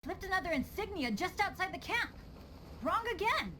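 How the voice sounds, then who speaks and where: A woman's voice: very high voice, very animated, moderate pace, noisy background. A woman voicing an earnest, unlucky teenage boy adventurer in an anime-style game, with a boyish voice. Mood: upbeat.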